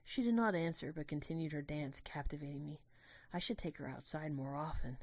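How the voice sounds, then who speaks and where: A woman giving a dramatic first-person narration of a scripted story, in a measured, literary and expressive way. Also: low-pitched, no room sound.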